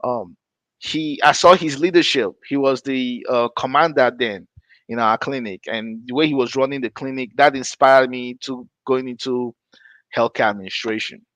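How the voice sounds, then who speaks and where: A man raised in Nigeria tells his story on a podcast at a mid pitch, in a relaxed, meandering way. He often stops to correct himself and laughs lightly between points. The sound is dry and close, with no room echo. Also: moderate pace. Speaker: podcast host. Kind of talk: casual storytelling chat.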